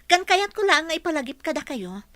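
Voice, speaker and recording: An actress playing a spirited, defiant young housekeeper in a Filipino radio drama, with a Filipino accent. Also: female voice, high voice, big pitch swings, a little fast, a bit bright. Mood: defiant, hurt. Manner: feisty, talks back.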